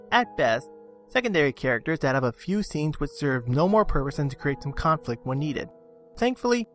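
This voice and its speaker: Animated higher-voiced man narrating a review. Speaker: video reviewer. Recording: music under the voice. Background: background music.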